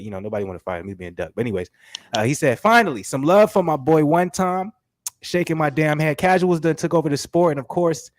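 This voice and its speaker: A man hosting a casual boxing talk show while sick with a stuffy nose, talking in slangy, animated bursts and filling his speech with "you know what I'm saying". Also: medium pitch, no echo, studio quality. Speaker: host.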